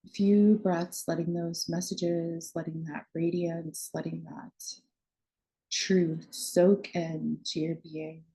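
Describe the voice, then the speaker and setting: Slow, low-voiced woman guiding meditation. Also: little pitch movement, warm tone, poor audio. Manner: soothing, unhurried phrasing with long pauses. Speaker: meditation guide and astrologer.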